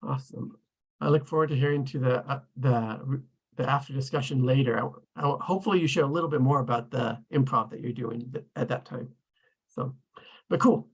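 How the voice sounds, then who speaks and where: A man's voice: medium pitch, fairly quick, gravelly, slightly rough. A man hosting an online table read, casually introducing participants and thanking them.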